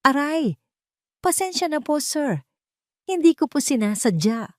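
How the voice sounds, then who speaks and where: Woman voicing a polite, timid young waitress, higher-pitched. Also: sing-song, measured pace, a little mellow, no room sound, studio quality. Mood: frightened, pleading. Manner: polite, deferential.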